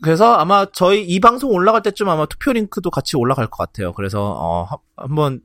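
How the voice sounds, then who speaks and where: A man hosting a podcast in a casual, halting way, often clearing his throat between phrases. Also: fairly high pitch, big pitch swings, fairly bright tone, dry recording, clean studio sound. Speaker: podcast host. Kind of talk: casual podcast outro and announcements.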